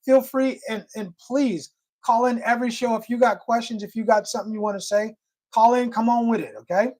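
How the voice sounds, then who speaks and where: A man's voice: very high voice, fairly even intonation, brisk, a little mellow. Man co-hosting a casual call-in stream, emphatic and friendly, repeats phrases. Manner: restarts often, emphatic and friendly. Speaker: livestream co-host. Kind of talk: casual call-in livestream chat.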